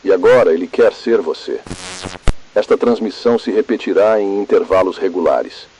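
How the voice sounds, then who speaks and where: A Brazilian man playing a grave, calm, ominous emergency broadcast announcer in a scripted analog horror, husky-voiced, solemn, measured and formal. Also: sits low, a little hiss or hum, close to an everyday voice, low-quality recording. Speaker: narrator.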